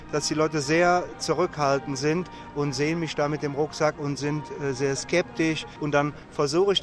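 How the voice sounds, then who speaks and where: A man being interviewed outdoors at a summer festival, telling stories in a chatty way with frequent fillers. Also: fairly high pitch. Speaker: interviewee, long-distance walker. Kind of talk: casual storytelling in an interview.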